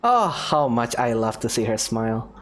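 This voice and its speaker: A woman's voice: a bass voice, sing-song, normal speed, a crowd in the background. A woman giving casual commentary as she plays through a video game. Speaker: gamer commenting on her playthrough. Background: game music.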